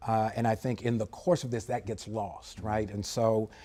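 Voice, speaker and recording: A man, a former air traffic controller, giving a measured, analytical explanation as a guest in a TV studio, with frequent fillers. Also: lowish voice, steady tone, warm tone. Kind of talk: live TV interview.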